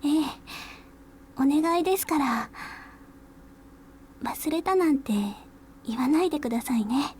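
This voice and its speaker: A woman playing a cheerful, eccentric, teasing high school girl in a Japanese visual novel, speaking politely. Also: expressive, brisk, long pauses, a little hiss or hum. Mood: playfully hurt.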